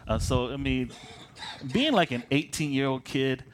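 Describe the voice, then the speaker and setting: A man's voice: medium pitch, lively intonation, a little slow. A man in his late twenties from Michigan, leading a prayer and then introducing himself as a preacher in a casual, self-deprecating way, often restarting his phrases and chuckling as he paces around. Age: late 20s. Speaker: pastor.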